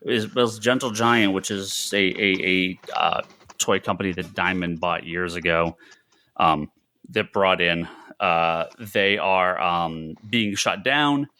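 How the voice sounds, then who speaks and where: A man with a lowish, bright and forceful voice, talking as a podcast co-host. He thinks aloud in a halting way, repeating words for emphasis, in a casual news-chat style. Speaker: podcast co-host who runs a comics store.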